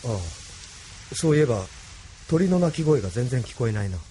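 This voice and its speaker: An actor playing an earnest, subdued young resistance hero in an anime audio drama. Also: male voice, mid-range voice, very animated, brisk, warm tone, slight background noise, lightly acted. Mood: subdued, grateful.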